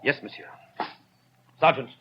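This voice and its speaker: An actor playing a stern, duty-bound police inspector in a 1930s radio drama, speaking formally and insistently. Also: male voice, unhurried, close to an everyday voice. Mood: insistent, indignant. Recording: dull 1930s radio recording.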